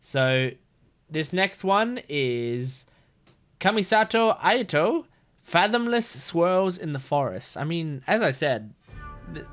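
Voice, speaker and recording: A man giving casual reaction commentary in an expressive, exclamatory fan style, swearing casually. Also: on the high side, slow. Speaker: reaction video host.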